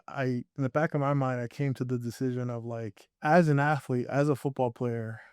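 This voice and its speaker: A man's voice: medium pitch, a little mellow, clear, resonant tone, no room sound, clean studio sound. A young man from Puerto Rico, raised in Connecticut, talking earnestly as a guest filmmaker in a casual interview, often restarting his sentences. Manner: earnest, reflective, with frequent restarts.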